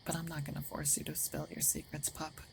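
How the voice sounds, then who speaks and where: A gruff female werewolf played by a woman speaks very low, with a gravelly creak. She is a territorial yet caring protector, awkward and hesitant, often sinking into half-whispers. It is an intimate ASMR roleplay with faint ambience behind the voice. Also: level tone, a little fast, slight background noise. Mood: matter-of-fact, tending.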